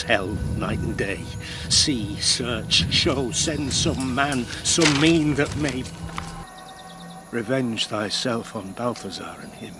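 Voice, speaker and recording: A grief-stricken middle-aged father played by a male actor broods on revenge in slow, anguished verse. It is classical radio drama, with prominent music behind him. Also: medium pitch, close to an everyday voice. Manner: anguished, brooding soliloquy delivery. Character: grief-stricken father, Knight-Marshal of Spain, bent on revenge.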